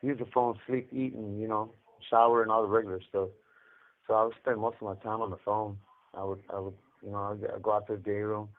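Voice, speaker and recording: A man's voice: level tone, fast talker. A man telling his story in casual, rambling street talk with slang and cursing, as an inmate on a phone call. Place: jail or prison phone line. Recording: muffled low-fi collect phone call.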